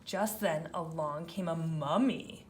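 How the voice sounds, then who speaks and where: A woman narrating a children's storybook read-aloud with expressive emphasis. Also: deep voice, takes it slowly.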